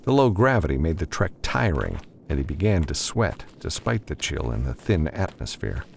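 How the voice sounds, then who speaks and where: A male narrator reads scripted fiction in a deep, creaky voice. His pitch moves expressively as he tells the story, and there is faint noise in the recording. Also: very animated, normal speed. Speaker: audiobook narrator.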